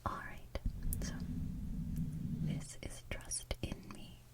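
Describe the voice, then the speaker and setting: A woman hosting ASMR, chatting casually in a hushed, breathy whisper with an unhurried, rambling manner. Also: far from the mic. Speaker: ASMR channel host.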